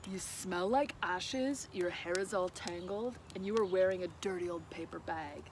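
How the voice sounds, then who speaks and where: A woman's voice: lowish voice, normal speed, slight background noise, pitched below the performer's own voice, natural voice. A woman voicing a haughty, disdainful young prince in a storybook. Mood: scornful. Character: snooty, scornful young prince.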